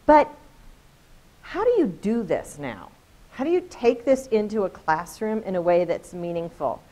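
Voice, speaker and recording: A woman with a loud voice giving a casual, energetic conference presentation, joking with her audience. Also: medium pitch, measured pace. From Colorado. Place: conference room.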